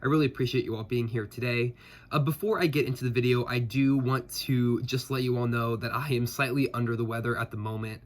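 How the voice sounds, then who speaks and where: A man's voice: mid-range voice, steady tone, quick pace. A man with a stuffed-up voice from a head cold, chatting casually about his reading with many fillers and restarts. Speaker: booktuber.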